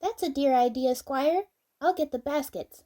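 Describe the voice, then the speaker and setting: A woman's voice: high voice, moderate pace, mellow, close to an everyday voice. A woman playing an anxious, caring young girl in a fantasy audio drama. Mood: eager.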